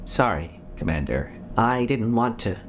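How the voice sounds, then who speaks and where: A man's voice: on the low side, animated, measured pace, slight background noise. A man playing an anxious, deferential scientist in a sci-fi audio drama, earnest and hesitant. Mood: apologetic. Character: anxious, deferential scientist devoted to alien artifacts.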